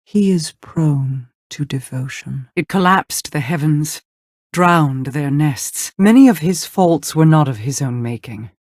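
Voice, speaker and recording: A cryptic game queen, slow and stately, formal delivery, very dry close sound. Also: deep voice, animated, darkish tone, no echo, full character voice. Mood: storytelling. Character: regal, cryptic queen telling an old tale. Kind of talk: video game voice acting.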